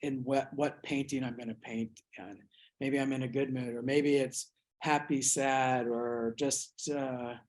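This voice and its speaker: A man from the United States, an artist reflecting on his work in an interview, speaking thoughtfully with many pauses and restarts. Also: medium pitch, level tone.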